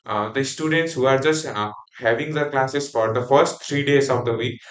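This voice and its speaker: A man's voice: mid-range voice, moderate pace, a bit bright. A young man from Nepal, a native Nepali speaker with a Nepali accent, giving a class group presentation with many fillers and restarts. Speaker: student presenter. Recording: echoey room.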